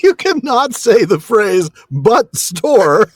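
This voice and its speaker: A man's voice: high-pitched, big pitch swings, slow pace, slight background noise. A man co-hosting a podcast in casual, jokey banter, with a giggly way of talking. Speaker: podcast co-host.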